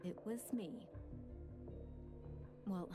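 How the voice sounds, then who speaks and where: Cocky female crew boss, video game, sardonic teasing, medium pitch, music behind. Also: very slow. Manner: sardonic, breezy.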